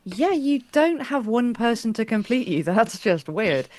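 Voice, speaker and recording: A woman's voice: on the high side, sing-song, a little slow, darkish tone, no echo. A middle-aged woman interviewing in a casual, conversational style, wry, with quoted asides.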